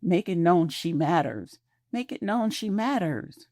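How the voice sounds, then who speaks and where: Brief: woman as hurt wife, 50s, low warm voice, pointed, dry mic. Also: big pitch swings, measured pace, no echo. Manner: wounded, pointed. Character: hurt middle-aged wife, wounded and direct.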